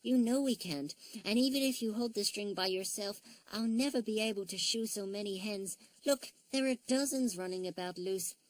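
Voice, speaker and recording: A woman voicing an eager, cheerful little wooden toy boy, with a chirpy, excitable delivery. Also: mid-range voice, normal speed, few pauses, dry recording, pitched above the performer's own voice, natural voice, low-quality recording.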